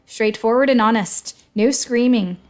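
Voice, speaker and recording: A woman voicing a tall, elegant male ferryman of the dead in an audiobook, smooth, condescending and coldly sardonic. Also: on the high side, measured pace, a little mellow, pitched above the performer's own voice, natural voice. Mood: dry, mildly amused.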